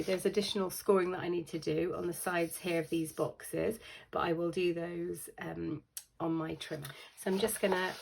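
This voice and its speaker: Croaky British woman demonstrating a craft. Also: fairly low pitch, noisy background. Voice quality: croaky, with a lingering cough. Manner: chatty, with frequent ums and self-corrections. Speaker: craft tutorial host. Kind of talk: casual step-by-step craft demonstration.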